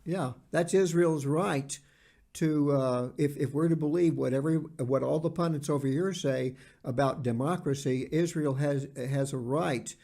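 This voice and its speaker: Mellow-voiced man in podcast discussion. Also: on the high side, creaky. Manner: earnest, halting, repeats words for emphasis. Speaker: podcast co-host.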